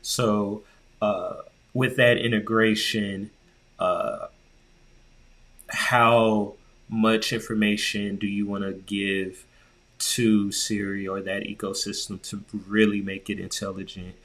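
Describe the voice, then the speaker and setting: A man's voice: lowish voice, flat delivery, takes it slowly, a bit bright. A man co-hosting a podcast, chatting informally and slangily in a casual conversational style. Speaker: podcast co-host. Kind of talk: casual conversational tech chat.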